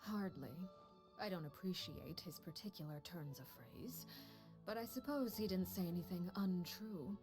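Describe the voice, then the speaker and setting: An actress playing a cold, composed woman who leads a household of spies, speaking in a measured, quietly menacing way. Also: lowish voice, close to an everyday voice. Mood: cool. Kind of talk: video game dialogue. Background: game music.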